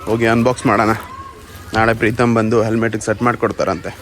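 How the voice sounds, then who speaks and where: A man's voice: fairly low pitch, flat delivery, fast talker, fairly bright tone. Casual Kannada man vlogging, faint noise. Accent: Karnataka Kannada. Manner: informal, addressing viewers as guys. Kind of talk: casual vlog chat.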